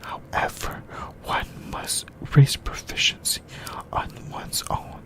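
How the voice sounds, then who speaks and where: A YouTuber whispering an ASMR anime discussion in a halting way, in short broken phrases. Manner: whispering throughout.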